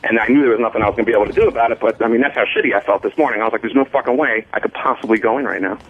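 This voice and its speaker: A man's voice: medium pitch, breathy edge. Muffled phone-line guy, sweary and defensive, keeps stumbling and repeating himself while explaining. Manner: defensive, halting, repetitive, profane. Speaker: radio show staffer calling in sick. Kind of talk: casual radio banter, explaining himself. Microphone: phone call.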